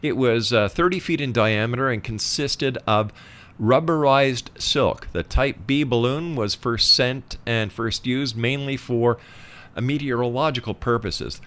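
A man's voice: medium pitch, unhurried, fairly bright tone. A man hosting a radio interview, explaining things emphatically in a conversational way and at times reading out facts.

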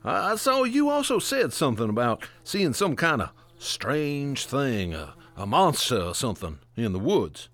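A man's voice: mid-range voice, big pitch swings, normal speed, few pauses, a bit bright. A man playing a courteous, patiently probing police inspector in a radio drama. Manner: polite, casually probing.

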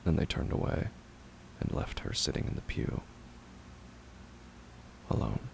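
A man narrating scripted horror fiction in a measured, somber, deliberate manner. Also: a little hiss or hum.

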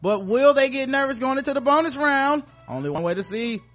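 A man's voice: very high voice, animated, a little fast, a bit bright, faint music behind. A man hosting a podcast in casual, joking banter with informal, profane language. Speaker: podcast host.

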